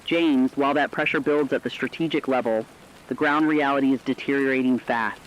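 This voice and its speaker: A man playing a somber field reporter in a scripted emergency radio broadcast, formal, deliberate and urgent, with dull lo-fi sound. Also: mid-range voice, fairly even intonation, slight background noise, lightly acted. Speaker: news correspondent.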